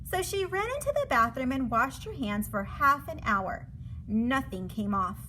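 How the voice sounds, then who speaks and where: Animated high-voiced woman reading a storybook. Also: a little slow, slight background noise, low-quality recording. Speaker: storybook reader. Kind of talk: animated children's read-aloud narration.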